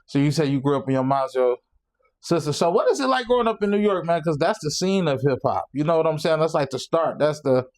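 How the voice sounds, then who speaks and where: A man's voice: a little high, lively intonation, quick pace, darkish tone. A man in his thirties hosting a casual podcast interview, easygoing and slangy, often repeating his reactions. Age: 30s. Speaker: podcast host.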